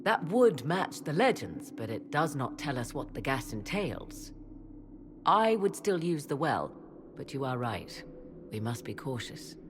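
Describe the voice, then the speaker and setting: An imperious witch of a fantasy game, steeped in ancient lore. Her voice is very low and moves expressively up and down as she argues in formal, measured phrases. Noisy ambience sits behind her. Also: big pitch swings, full character voice. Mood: commanding, conceding. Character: proud, cool, ambitious witch, scholar of ancient lore. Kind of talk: dramatic fantasy game dialogue. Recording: clear ambient noise behind voice.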